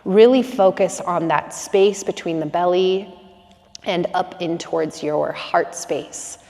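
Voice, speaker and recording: Calm woman breath coach, slightly low voice, soothing and unhurried, reverberant space. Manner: slow, soothing, calm instructions with long pauses. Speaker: breathwork instructor. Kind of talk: guided breathing meditation.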